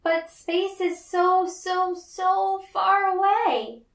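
A woman's voice: extremely high pitch, level tone, very slow, voice pushed up, full character voice. A woman voicing a hopeful, earnest, dreamy little girl in a children's storybook. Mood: doubtful. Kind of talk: children's storybook read-aloud. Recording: roomy, echoey, distant mic.

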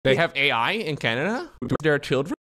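A man's voice: a little high, big pitch swings, a little fast, pushed and bright. A young man streaming from a small room at home, chatting casually and jokingly while reading chat aloud. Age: young adult. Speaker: streamer editing a video. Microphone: desk mic, wearing headphones, webcam framing.

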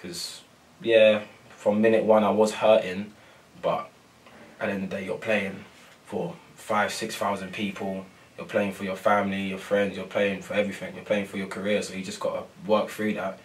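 A young male footballer talks in a low, even monotone, hesitating with ums and repeating himself. He sounds a little set back from the microphone in a press room. The delivery is soft and unhurried. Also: far from the mic, poor audio. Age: young adult. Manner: soft-spoken, many ums and repeated phrases. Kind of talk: post-match interview. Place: press room before a sponsor board.

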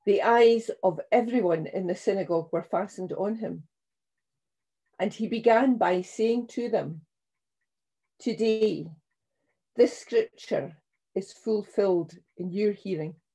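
An older woman minister reading scripture and preaching in a solemn, measured, deliberate way. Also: mid-range voice, mellow, husky, rough recording. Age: 60s or older. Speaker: minister leading a church service. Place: small room at home, indoors.

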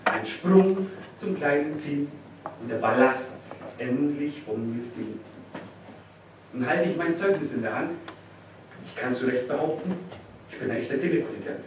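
Young male slam poet, mid-pitched, heard from far off in a very reverberant room. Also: lively intonation, moderate pace, pauses often. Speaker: poetry slam performer. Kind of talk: live spoken-word poetry slam performance. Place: indoor small venue stage before an audience. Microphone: distant camera microphone. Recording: distant, very echoey, noisy room recording.

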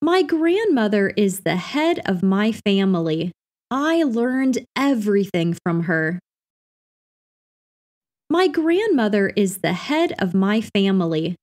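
A woman reading everyday practice phrases for an English lesson in a clear, carefully enunciated scripted delivery. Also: medium pitch, sing-song, slow, a little mellow.

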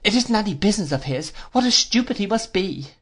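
A reader putting on a high, childish, pouting young woman. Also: lively intonation, a little fast, a bit bright. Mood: pouting, petulant. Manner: coaxing, petulant, pleading.

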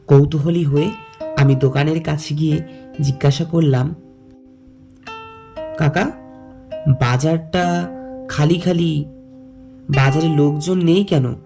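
A man tells a scripted first-person story. His delivery is very slow and measured, phrase by phrase. The voice is mellow with a creaky grain. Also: a little high, steady tone, faint music behind. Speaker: narrator and lead character.

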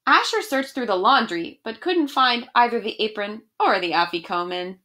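A woman's voice: a little high, expressive, moderate pace, sharp tone. A young adult woman reading a picture book aloud expressively and chatting casually to children from a small room at home. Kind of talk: animated read-aloud and casual address to children.

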